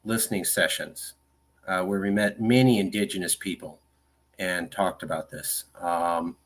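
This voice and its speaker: A man with a somewhat low voice talks over a string of video clips, narrating them for viewers. He leans hard on his points, repeating them with promotional emphasis. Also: steady tone, measured pace. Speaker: advocacy group leader.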